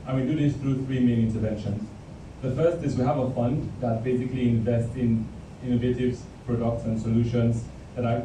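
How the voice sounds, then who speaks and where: Flat-voiced man in a booming hall. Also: background noise. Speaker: director for partnerships, panelist. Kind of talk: panel talk. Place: conference hall. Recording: echoey hall, far from the mic.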